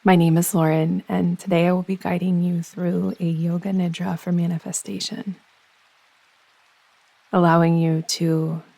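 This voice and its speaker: A woman leads a guided meditation in a husky, very even voice. She gives soothing instructions in unhurried phrases with pauses between them. The recording is close and dry. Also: on the low side, no room sound, rough recording. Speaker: yoga nidra meditation guide.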